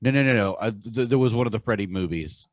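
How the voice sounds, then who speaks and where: A man's voice: on the low side, fast talker, no room sound, clean studio sound. A man co-hosting a podcast in casual chat, informal and slangy with strong opinions. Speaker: podcast co-host.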